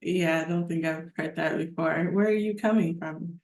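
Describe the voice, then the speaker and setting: A woman's voice: fairly low pitch, fairly even intonation, normal speed, darkish tone. A woman hosting a podcast interview, asking short friendly questions. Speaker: podcast host. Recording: echoey room.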